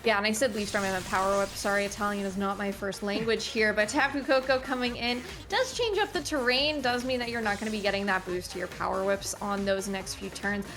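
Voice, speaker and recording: A woman calls a competitive match at a rapid clip, breaking down each move in a brisk, analytical way. Steady music plays clearly underneath her voice. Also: medium pitch. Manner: brisk, analytical, self-correcting. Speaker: esports commentator. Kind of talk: play-by-play game commentary. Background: background music.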